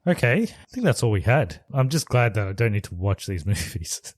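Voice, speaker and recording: A man co-hosting a podcast in casual chat, relaxed and often laughing as he steers the talk. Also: fairly low pitch, moderate pace, no room sound. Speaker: podcast co-host.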